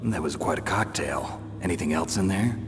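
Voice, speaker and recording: An actor as a very deep, gruff soldier. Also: male voice, normal speed, music in the background, lightly acted. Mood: sardonic. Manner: terse, deadpan, sardonic. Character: gruff, cynical, dry-witted veteran male soldier. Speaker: special forces soldier. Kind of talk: video game voice acting. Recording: very reverberant hall, loud background noise.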